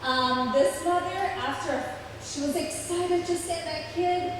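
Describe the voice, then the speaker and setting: A woman from Brazil with a Brazilian Portuguese accent, giving an earnest personal testimony with frequent pauses. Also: very high voice, slight background noise. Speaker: church plant speaker. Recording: echoey hall, distant mic.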